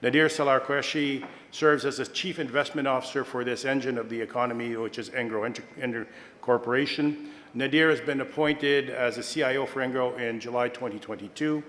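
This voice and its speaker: Middle-aged guy from kenya moderating a conference panel on a hall mic, mid-pitched, long echo tail, lots of uhs. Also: steady tone, fairly bright tone, a little hiss or hum. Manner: measured, with frequent "uh" fillers and self-corrections.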